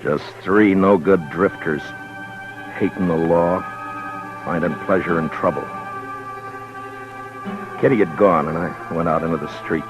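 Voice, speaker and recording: A brooding frontier marshal from an old western radio play. His voice is very low and unhurried, with terse, gruff lines that still carry tenderness. Music sits faintly around the recording. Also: muffled recording. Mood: grim narration. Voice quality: deep-voiced. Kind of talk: 1950s western radio drama acting.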